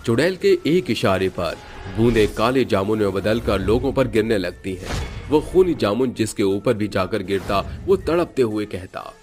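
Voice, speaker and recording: A man's voice: lowish voice, animated, moderate pace, darkish tone, faint music behind. A man narrating a horror cartoon story in a dramatic, scripted way.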